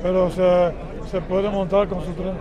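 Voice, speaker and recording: A man's voice: high-pitched, flat delivery, moderate pace, dull audio. A man with a Dominican accent interviewing people in a busy city plaza, asking short, amazed questions. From Dominican Republic. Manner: curious, amazed, asks many short questions. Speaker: street interviewer. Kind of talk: casual street interview. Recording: busy outdoor crowd noise. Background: crowd chatter and traffic.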